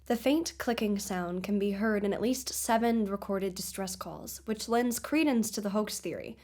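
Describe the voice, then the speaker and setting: A woman playing a composed investigative podcast host, with measured, formal delivery in a scripted audio drama documentary. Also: mid-range voice, a little mellow, lightly acted. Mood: inquisitive, courteous.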